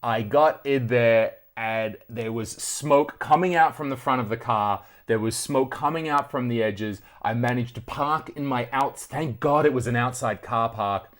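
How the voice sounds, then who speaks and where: A man's voice: mid-range voice, unhurried, few pauses. An Australian man chatting casually to viewers as a video host, telling stories in a conversational, sweary way. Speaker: retro tech video host. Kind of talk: casual storytelling to camera.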